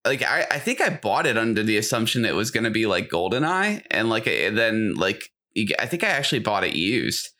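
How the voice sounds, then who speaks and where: Bright-voiced man chatting casually. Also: fairly low pitch, sing-song, a little fast, hardly any pauses. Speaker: podcast co-host. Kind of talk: casual chat.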